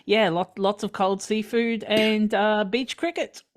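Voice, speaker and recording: An Australian woman chats over a video call with a dry, wry humor. Her pitch sits in the middle of the range. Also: steady tone, unhurried. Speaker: improv cast member. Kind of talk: casual chat.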